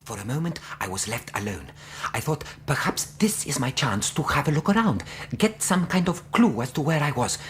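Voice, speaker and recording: An animated, fussy Greek interpreter. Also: male voice, fairly high pitch, normal speed, hardly any pauses. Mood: bemused, recounting. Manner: formal, precise, animated storytelling. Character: fussy, earnest middle-aged Greek interpreter recounting his ordeal. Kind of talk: radio drama narration and dialogue.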